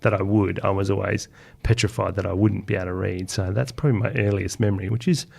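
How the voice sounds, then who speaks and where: A man's voice: deep voice, steady tone, fast talker, vocal fry, a little mellow, breathy edge. A man chatting casually as an interview guest, thinking aloud as he recalls memories. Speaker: children's author, podcast guest.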